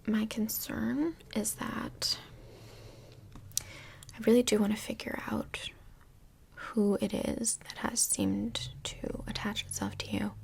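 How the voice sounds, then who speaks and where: A woman playing a calm, chatty couples counsellor in a soft-spoken ASMR role play, with a breathy, whispery voice and gentle, measured, reflective delivery. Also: mid-range voice. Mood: calm, professional. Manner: gentle, measured therapist talk with reflective pauses.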